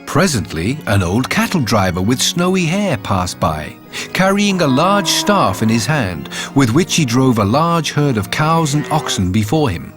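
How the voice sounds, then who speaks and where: A man reads a fairy tale aloud at a middle pitch, his delivery lively and full of melodic movement. Faint music plays softly underneath. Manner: measured, expressive reading. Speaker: narrator. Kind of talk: storybook narration.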